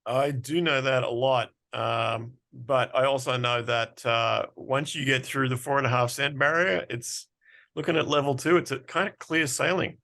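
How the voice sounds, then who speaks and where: A man's voice: mid-range voice, fairly even intonation, normal speed, creaky, pushed and bright. A man hosting a casual interview, talking informally with a blunt, wry manner. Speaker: interviewer and host.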